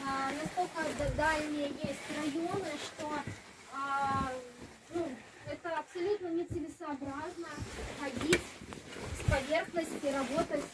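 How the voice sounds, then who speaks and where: High-voiced woman guiding in an echoey cave. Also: fairly even intonation, unhurried. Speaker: cave tour guide. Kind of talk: informal guided tour explanation. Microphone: handheld camera a few steps away. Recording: echoey, distant, noisy cave recording.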